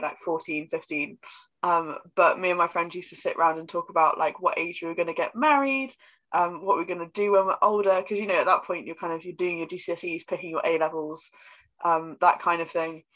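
Co-host: woman, twenties, lowish pitch, crisp bright tone, rambling. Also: low-quality recording. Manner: teasing, rambling, many ums and likes. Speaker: podcast co-host. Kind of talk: casual chat.

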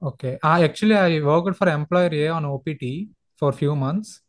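A man's voice: on the high side, moderate pace, no echo, clean studio sound. A man calling in with questions for a lawyer, speaking politely and hesitantly. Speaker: caller. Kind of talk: asking legal questions on a call. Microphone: conference call line, close and dry.

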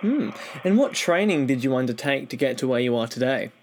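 A man's voice: mid-range voice, lively intonation, fairly quick, a little hiss or hum. A man, presenting a podcast and interviewing a guest as its host.

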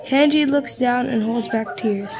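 A woman's voice: on the high side, a little slow, a little mellow, low-quality recording. A female narrator reading scripted scene descriptions for an amateur radio play.

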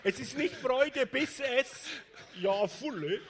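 High, animated male sermon interpreter. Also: slow pace. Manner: cheerful, mirroring the preacher's delivery. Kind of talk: live consecutive interpreting of a sermon.

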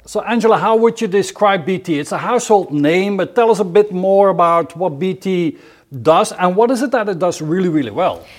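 A man with a slightly high-pitched voice hosts a formal interview. He delivers prepared introductions and questions in a measured, deliberate way. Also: lively intonation. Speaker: interviewer, host.

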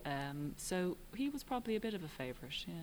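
A middle-aged Irish woman, a writer and director, answering interview questions in a thoughtful, halting way with frequent ums. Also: lowish voice, very animated, a little mellow, a little hiss or hum. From Ireland. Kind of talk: relaxed reflective interview answers.

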